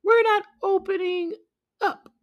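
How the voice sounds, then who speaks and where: Storybook goat kid: very high, childlike, defiant, insistent. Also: male voice, steady tone, slow, dull audio. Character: young goat kids, high-pitched, defiant little children.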